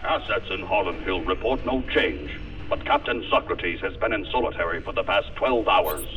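Field operative, low and animated, formal military briefing to a superior over a muffled radio link, sci-fi drama. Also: male voice, moderate pace. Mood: dutiful, businesslike. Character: dutiful male operative officer reporting to his superior. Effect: radio transmission filter. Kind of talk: science fiction audio drama acting. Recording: dull radio link, distant, faint music.

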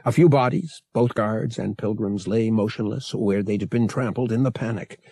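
A man reading an adventure novel as an audiobook narrator, in a measured, deliberate and dramatic storytelling style. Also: on the low side, mellow, dry recording, studio quality.

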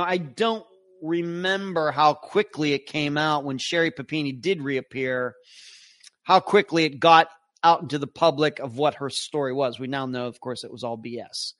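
A man hosting a live podcast, reading out listener comments and answering them in a casual, rambling way. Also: fairly high pitch. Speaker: podcast host.

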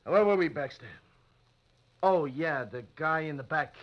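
An actor playing a blunt, slangy beat constable in a 1940s radio drama. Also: male voice, on the high side, unhurried, highs cut off, lightly acted. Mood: gruff. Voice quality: gruff, slangy. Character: gruff male beat cop, blunt.

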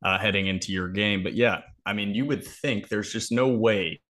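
An American man with a low voice speaks as a podcast co-host. He gives casual football analysis in a conversational style. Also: moderate pace, fairly bright tone.

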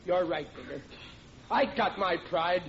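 Flustered family man, high-pitched, stammering, blustering, self-pitying, old radio sitcom, dull noisy sound. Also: expressive, a little slow, a bit bright, exaggerated voice. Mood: wounded pride. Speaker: radio comedy actor. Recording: muffled, noisy vintage radio with audience.

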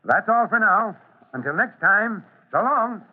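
An authoritative fire chief with a fairly high voice, instructing young listeners formally and deliberately. It has the thin, narrow sound of old radio. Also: male voice, animated. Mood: instructive, earnest. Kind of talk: old-time radio public safety address. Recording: thin, band-limited old radio recording.

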